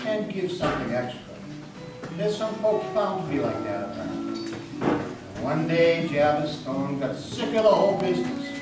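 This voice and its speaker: A man giving a dramatic storytelling reading of a folk tale in a folksy, emphatic manner. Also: a little high. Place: meeting house, live reading. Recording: echoey hall from far off, music behind. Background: guitar music.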